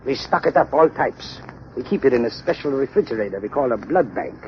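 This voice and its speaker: A cold-blooded male villain played by a radio actor, boastful and deliberate in his menace. The 1930s broadcast recording is muffled, with clear hiss and noise. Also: mid-range voice, animated, natural voice. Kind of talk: 1930s radio drama acting.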